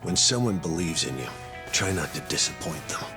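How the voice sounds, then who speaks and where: A man's voice: low-pitched, animated, normal speed, over music. An actor playing a stern but caring male prison guard who lectures firmly, in a video game dub. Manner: firm, lecturing.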